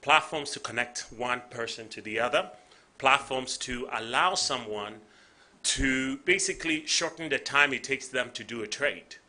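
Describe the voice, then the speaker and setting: A Ghanaian man speaks at a conference with a rallying, repetitive cadence. His voice is very bright and ringing. He drives each point home by restating it. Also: mid-range voice, normal speed. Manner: rallying, repeats phrases for emphasis. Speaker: panel speaker. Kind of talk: conference speech.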